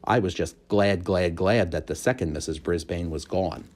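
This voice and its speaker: A man reading a children's book aloud as an expressive narrator. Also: low-pitched, normal speed. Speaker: storybook reader.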